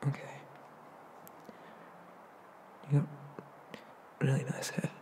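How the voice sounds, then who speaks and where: A man voicing a shy, gentle young male demon in an ASMR roleplay, soft and hesitant, often slipping into whispers. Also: normal speed, close to an everyday voice. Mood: nervous, tender. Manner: hesitant, stammering, bashful. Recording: noticeable background noise.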